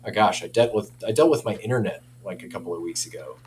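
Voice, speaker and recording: A man's voice: lowish voice, fast talker. A man chatting casually and enthusiastically about products as a company representative, in informal language. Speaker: audio company representative, guest.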